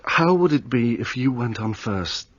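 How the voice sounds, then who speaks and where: A man's voice: medium pitch, expressive, a little slow, dry recording, lo-fi sound. An actor playing a troubled, guilt-ridden young man, earnest and remorseful in a radio play.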